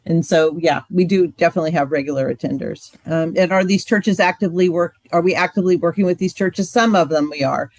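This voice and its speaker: A woman's voice: fairly low pitch, a little fast, few pauses, a little mellow, no room sound. A woman co-leading a marriage ministry, answering questions casually and chattily, with many "like" and "um" fillers. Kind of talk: training session talk.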